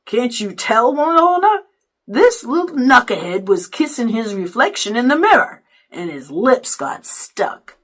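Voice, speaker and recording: Storybook role: thirties dad, done by a woman, amused and wry, animated delivery with a bright edge. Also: on the high side, unhurried, full character voice. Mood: teasing. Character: amused teasing father, thirty-five, easygoing.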